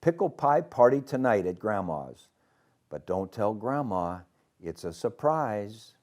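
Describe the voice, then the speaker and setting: An eager, friendly youngster from a picture book, voiced by a male reader, speaks simply and earnestly. The pitch stays fairly low. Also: big pitch swings, measured pace, darkish tone. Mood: excited, secretive. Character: eager young friendly Hog Mollies pals in children's storybook.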